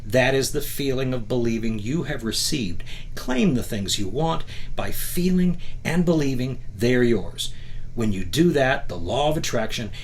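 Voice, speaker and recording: A man's voice: mid-range voice, expressive, measured pace. A man giving a motivational talk, reading from a book and commenting on it, emphatic and often checking in with rhetorical questions. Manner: rhetorical questions and frequent "right?" check-ins. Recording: clear background noise.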